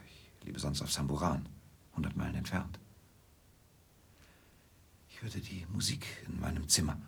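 A troubled gentleman in his late thirties, speaks low with a very husky, gravelly voice. His manner is formal, gallant and hesitant, often dropping toward a hush. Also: full character voice. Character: courteous, troubled European loner, a man in his late thirties. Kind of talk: German radio drama acting.

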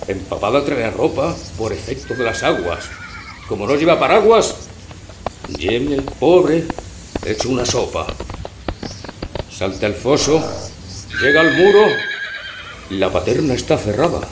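Slow, declamatory male narrator in echo. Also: mid-range voice, very animated. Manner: dramatic, declamatory, drawn-out phrasing. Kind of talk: theatrical comic verse recitation. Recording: roomy echo, loud background noise.

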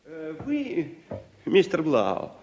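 An actor playing a fussy, polite process server, halting and officious, in Soviet radio drama style. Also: male voice, a little high, wildly swooping pitch, dull audio, over music, exaggerated voice. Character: fussy, polite male official serving a summons. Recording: noisy old radio recording.

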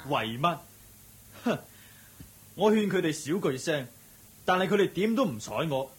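An exasperated young husband and son in a Cantonese radio drama from Hong Kong. His slightly husky voice sits fairly high and leaps around as he grumbles. He is sulky, agitated and given to sighs. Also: very animated, a little slow, heavily acted. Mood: agitated, aggrieved. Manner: agitated, complaining, sighing.

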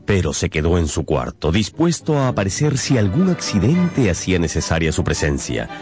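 A man narrating a literary radio drama as a storyteller, with dramatic pauses and emphasis. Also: low voice, huge pitch swings. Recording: music behind the voice.

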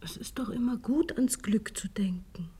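An actress playing an eager, curious young confidante in a German radio play, with a breathy, coaxing way of speaking. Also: female voice, a little high, normal speed, dark tone, in a whisper.

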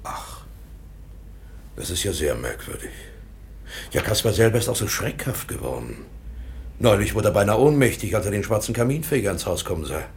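An actor playing a concerned, indignant gentleman professor in a radio drama, formal and expressive. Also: lowish voice, normal speed, creaky, long pauses, a little hiss or hum. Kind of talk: German radio drama acting.

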